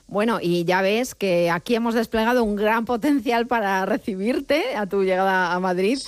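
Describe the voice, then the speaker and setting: A woman's voice: medium pitch, moderate pace, no echo. Woman hosting a travel radio show. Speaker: radio host. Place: studio.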